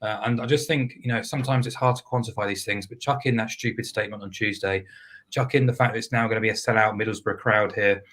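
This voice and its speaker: A man talking through football bets on a casual podcast, a little hoarse and hesitant, with plenty of fillers. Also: on the low side. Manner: measured, hesitant with fillers, flat delivery. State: losing his voice. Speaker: football betting pundit.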